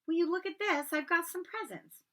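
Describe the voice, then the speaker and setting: A woman's voice: very high-pitched, moderate pace, a bit bright, voice pushed up. A woman voicing a polite, modest schoolboy in a storybook, with an eager manner.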